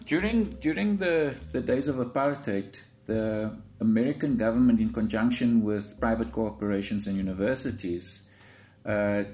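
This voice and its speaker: Low-voiced South African academic. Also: male voice, a little mellow. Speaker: zoologist guest. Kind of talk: explanatory interview answers.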